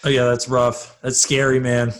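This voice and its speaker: A man's voice: mid-range voice, monotone, moderate pace. A man in a casual chat, agreeable and informal in the way he talks.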